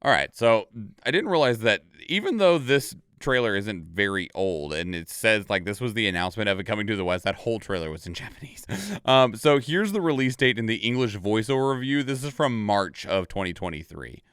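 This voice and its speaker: A man's voice: fairly low pitch, very animated, fairly quick, fairly bright tone, clean tone, no echo, studio quality. A man hosting a reaction video, commenting casually on game trailers and often repeating words for emphasis.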